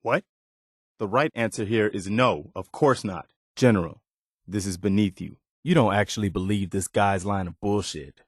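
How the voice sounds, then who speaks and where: Game role: principled, weary militia officer, sincere, plainspoken, lowish pitch, dry close recording. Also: male voice, moderate pace, husky, dry recording. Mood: indignant, disapproving. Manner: sincere, plainspoken, morally upright. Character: earnest, steadfast militia officer, weary but principled man. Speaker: game companion character. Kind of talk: video game voice acting.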